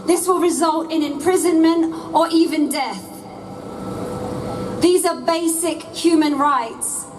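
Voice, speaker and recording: A woman with a fairly high voice speaks with an earnest, preacherly cadence. She is recorded from some distance, with faint outdoor noise behind her, as at a street rally. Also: slow.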